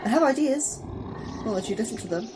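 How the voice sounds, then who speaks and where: Actress as put-upon female science officer, sci-fi audio drama: highish, anxious, grumbling, clearly noisy ambience behind. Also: expressive, fairly quick, natural voice. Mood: exasperated. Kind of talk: audio fiction drama acting.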